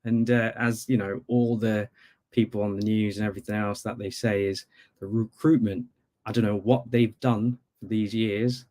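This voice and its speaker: A man's voice: on the low side, little pitch movement, moderate pace, dark tone. A man from Bangladesh calling in to a podcast as a football fan, talking casually with many conversational asides. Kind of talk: casual sports chat.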